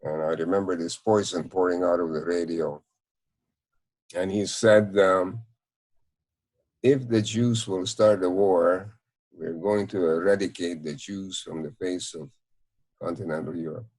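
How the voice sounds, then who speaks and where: A man in his nineties with a Hungarian accent, recounting memories in an interview in a measured, halting way. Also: sits low, steady tone, a little mellow. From Czechoslovakia. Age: 90s. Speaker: Holocaust survivor, interviewee. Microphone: video call.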